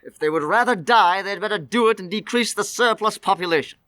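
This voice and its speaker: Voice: mid-range voice, fairly quick, bright, forceful tone, natural voice. An actor playing a miserly, cold, scornful old man in an old-time radio play, curt and grumbling. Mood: grumpy, indignant.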